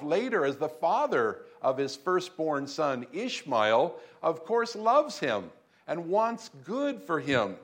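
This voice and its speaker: Guy preaching a sermon, slow and deliberate, kinda higher voice, leans hard on the big points. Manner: slow, measured preaching with emphatic lifts. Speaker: pastor. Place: church room in Beijing.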